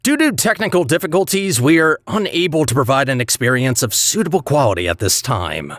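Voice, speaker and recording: An actor voicing a chatty, sardonic robot facilities manager in a video game, wry and condescending with officious politeness. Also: male voice, medium pitch, sing-song, a little fast, fairly bright tone, no room sound, clean studio sound. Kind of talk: scripted video game voice acting.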